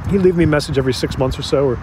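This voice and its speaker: An actor playing a regretful man confiding in an interviewer, in a halting, reflective, conversational way for an audio drama. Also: on the high side, background noise, lightly acted.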